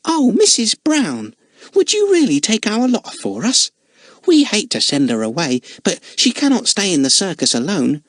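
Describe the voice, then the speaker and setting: A man's voice: high voice, wildly swooping pitch, normal speed, dry recording, voice pushed up, full character voice. A man voicing an anxious circus mother pleading for her daughter in a storybook reading. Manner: earnest and pleading.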